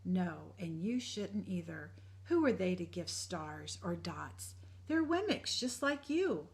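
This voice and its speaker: A woman voicing a kind, loving bearded woodcarver, speaking softly and tenderly, often near a whisper. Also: on the low side, sing-song, gravelly, exaggerated voice. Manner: soft, slow, tender, often hushed.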